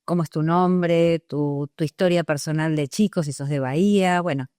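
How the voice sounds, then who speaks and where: Argentine interviewer, mid pitch, smooth clear voice, dry close sound, friendly questions. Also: moderate pace, a little mellow, no room sound. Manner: friendly, conversational.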